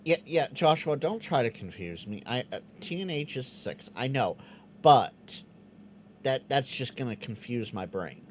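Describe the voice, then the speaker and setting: Chatty man with lively pitch. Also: unhurried, slight background noise. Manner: chatty, stammers on restarts, sounds out Morse code. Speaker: host of a live Q&A stream. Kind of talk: casual live-stream chat reading viewer comments.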